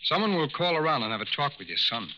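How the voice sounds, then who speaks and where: An actor playing a calm, courteous male federal agent with measured questioning in a 1940s radio crime drama. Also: fairly high pitch, exaggerated voice. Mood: calm, inquiring. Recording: muffled, hissy old radio broadcast.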